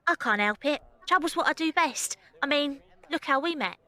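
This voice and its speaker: A woman playing a bright-voiced, rebellious circus girl. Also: sits high, animated, moderate pace, dry recording. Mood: cheeky. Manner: cheeky, informal, impulsive. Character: rebellious, dreamy young Victorian circus girl. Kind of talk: audio drama acting and first-person narration.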